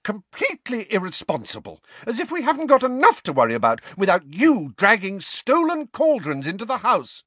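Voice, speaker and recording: A man's voice: medium pitch, big pitch swings, takes it slowly, hardly any pauses, fairly bright tone, breathy edge, dry recording, pitched above the performer's own voice, exaggerated voice. A man voicing a stern, bossy middle-aged mother who speaks firmly and scolds, in an audiobook. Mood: furious. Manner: firm, scolding.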